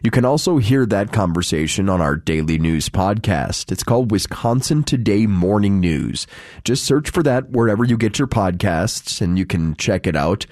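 Deep-voiced man radio announcer. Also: animated, moderate pace, darkish tone, dry recording, studio quality. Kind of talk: radio continuity and weather report.

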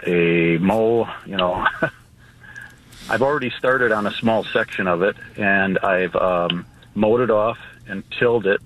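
A man calling in to a radio show, asking his question hesitantly with many pauses and restarts. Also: fairly low pitch. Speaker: caller. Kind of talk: call-in question. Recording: dull phone line.

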